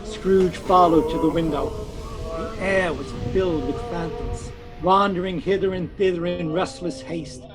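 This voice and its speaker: Guy narrator for a radio play, high voice, formal dramatic storytelling, music behind. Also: rough recording. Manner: formal, literary, deliberate phrasing.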